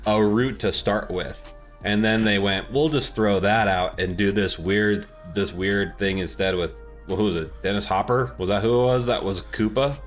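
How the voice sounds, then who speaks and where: A man's voice: on the low side, moderate pace, faint music behind. A man co-hosting a podcast, chatting casually and enthusiastically about movies in an informal, opinionated way. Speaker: podcast co-host.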